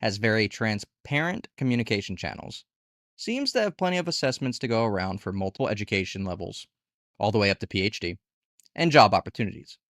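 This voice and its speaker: A man's voice: fairly low pitch, lively intonation, fairly quick, fairly bright tone, no echo, clean studio sound. A man hosting a conversational review and tutorial, speaking casually and candidly and posing rhetorical questions. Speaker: video host reviewing online gig work platforms.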